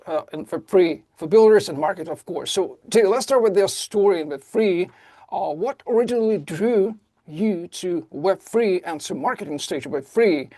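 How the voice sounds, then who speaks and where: A man who speaks Russian natively hosts a podcast interview, enthusiastic and a little halting in his phrasing. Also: high voice, darkish tone, dry recording. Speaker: podcast host. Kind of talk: casual interview hosting.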